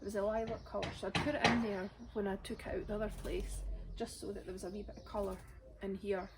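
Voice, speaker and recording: A woman, her voice raspy today, chatting casually as she works in her outdoor garden with birdsong around her. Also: medium pitch, flat delivery, fast talker, heavy background noise. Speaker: gardening vlogger. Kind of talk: casual chat while gardening.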